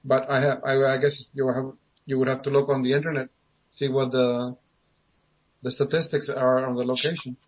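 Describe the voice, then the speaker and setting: A man from Argentina, a guest on a radio show, answers questions calmly and briefly. His voice sits at a medium pitch. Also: normal speed, rough recording. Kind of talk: conversational interview answers.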